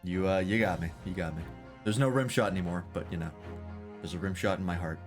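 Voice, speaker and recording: A man's voice: low voice, fast talker, music in the background. A man streaming a game, chatting casually and rambling with self-deprecating jokes.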